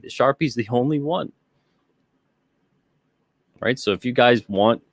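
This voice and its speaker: Male streamer host, mid-pitched, conversational and rambling, very dry recording. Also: no room sound. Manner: rambling, conversational, repeats himself. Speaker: live-stream show host. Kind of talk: casual chat, reading out live chat comments.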